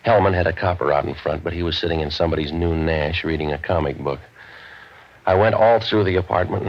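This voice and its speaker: Low-voiced hardboiled radio private eye. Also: male voice. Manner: clipped, deadpan, slangy tough talk. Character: hardboiled, world-weary waterfront private eye, gruff and wisecracking. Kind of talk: 1940s radio noir acting with first-person narration. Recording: dull vintage radio recording.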